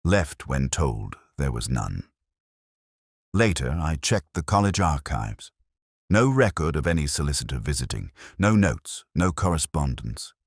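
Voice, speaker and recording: A man playing a weary, cerebral police inspector, narrating a detective audio drama in a measured, brooding, literary way with a gravelly voice. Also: very deep voice, sing-song, dry recording, clean studio sound, heavily acted. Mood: measured, sceptical.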